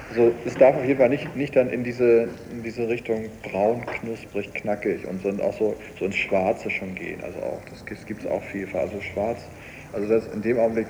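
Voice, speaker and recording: A man's voice: medium pitch, mellow. A man speaking casually and hesitantly, searching for his words as he muses in an interview. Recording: faintly noisy cassette radio recording.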